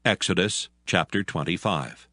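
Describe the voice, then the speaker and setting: A man narrating scripture from a script in a solemn, measured manner. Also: low voice. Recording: music behind the voice. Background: background music.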